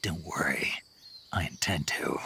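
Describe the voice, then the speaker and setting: Gruff soldier in a game, real deep, harsh edge, short answers. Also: male voice, measured pace, sharp tone, a little hiss or hum, exaggerated voice. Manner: gruff, clipped, dry. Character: terse male soldier on a mission.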